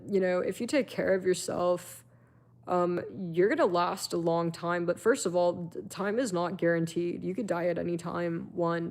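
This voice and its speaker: A woman's voice: on the low side, darkish tone. A woman in her twenties giving a blunt, chatty live-stream monologue, full of fillers and swearing. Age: 20s.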